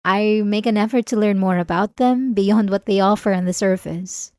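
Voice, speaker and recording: A woman playing an obsessive, manipulative therapy patient in an ASMR roleplay, outwardly calm and vulnerable, speaking haltingly. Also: mid-range voice, clean tone, no room sound, studio quality, natural voice. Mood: vulnerable, earnest. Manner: hesitant, halting, with sighs and nervous soft laughs. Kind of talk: intimate ASMR roleplay monologue.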